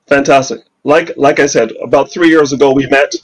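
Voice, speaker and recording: A man's voice: mid-range voice, steady tone, brisk, poor audio. A man from Canada, a financial advisor answering interview questions confidently and persuasively with rhetorical questions.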